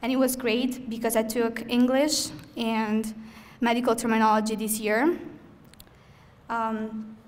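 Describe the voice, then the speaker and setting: Young woman with an Italian accent, a student speaking at a ceremony; earnest, a touch halting, pitched a little high. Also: little pitch movement. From Italy. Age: young adult. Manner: earnest, slightly halting, with frequent "um" pauses. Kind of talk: prepared ceremony speech. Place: event hall.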